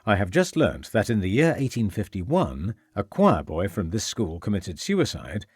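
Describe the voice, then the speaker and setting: A man's voice: fairly low pitch, sing-song, normal speed, slightly rough, dry recording, clean studio sound. A man voicing an earnest, composed male police inspector who speaks formally.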